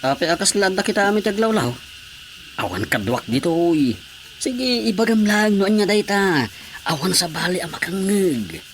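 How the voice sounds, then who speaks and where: An actor playing a village officer, impatient but willing to help, coaxing in a radio drama comedy with a Filipino accent. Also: male voice, high-pitched, animated, moderate pace, a bit bright. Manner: coaxing, questioning. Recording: faint background noise.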